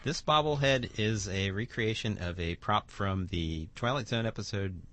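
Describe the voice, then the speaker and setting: Low-voiced man, somewhat halting. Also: a bit bright. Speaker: guest, radio drama producer. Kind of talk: casual interview chat.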